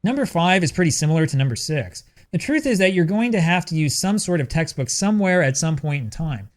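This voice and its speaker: A man delivering a scripted video essay with language-learning advice, in a brisk, earnest manner. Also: on the high side, lively intonation.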